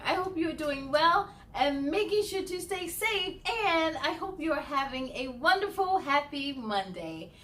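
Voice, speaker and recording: A woman hosting a children's storytime, reading aloud in a cheerful, encouraging and expressive way. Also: high-pitched. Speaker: host of a children's read-aloud show.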